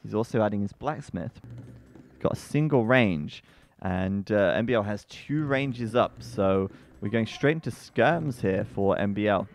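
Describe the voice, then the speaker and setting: A man commentating a strategy game match live, chatty and full of fillers, breaking into excited outbursts at the action. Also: measured pace, vocal fry, slight background noise. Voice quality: bassy-sounding voice. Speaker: esports commentator.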